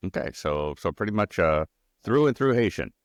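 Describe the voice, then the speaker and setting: Deep-voiced, lively male interviewer. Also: normal speed, clear, resonant tone, no echo, clean studio sound. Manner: upbeat, bouncy questions, some stammering. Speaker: interview host. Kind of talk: interviewing a guest.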